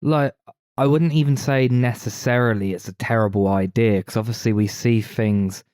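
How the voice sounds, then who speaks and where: Guy on a movie podcast, mellow dark voice, really dry sound, just chatting. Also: medium pitch, normal speed, dry recording. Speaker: film podcast co-host. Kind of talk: casual film review chat.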